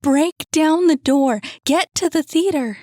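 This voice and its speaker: A woman voicing a desperate trapped young woman who urgently pleads and shouts through a door. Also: sits high, takes it slowly, dry recording, clean studio sound, voice pushed up. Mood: frantic.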